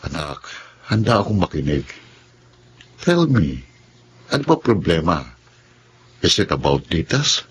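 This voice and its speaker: A man's voice: low voice, sing-song, measured pace, long pauses, a little hiss or hum. An actor playing a caring, easygoing middle-aged father in a Filipino radio drama. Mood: concerned. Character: caring, easygoing older father eager for grandchildren. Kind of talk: Tagalog radio drama acting.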